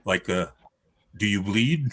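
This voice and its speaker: A man with a fairly low voice chats casually on a panel. He speaks in a measured, reflective way, as if thinking through each opinion. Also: lively intonation, a bit bright. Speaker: roundtable panelist. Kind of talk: casual chat about films.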